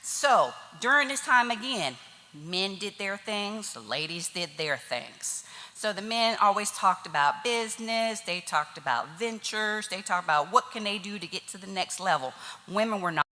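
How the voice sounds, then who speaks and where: A woman's voice: mid-range voice, expressive, moderate pace, bright, forceful tone. A woman giving a chatty, nervous talk to an audience at a church tea event. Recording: hall reverb.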